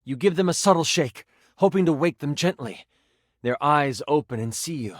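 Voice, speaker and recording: A theatrical game master narrates fantasy adventure in a highish male voice. The delivery is expressive and swings widely in pitch, savoring suspense, recorded close and dry for a video game. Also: moderate pace, dry recording. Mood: suspenseful storytelling. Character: personified D20 die, male, theatrical, enthusiastic game master. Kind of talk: dramatic tabletop fantasy narration.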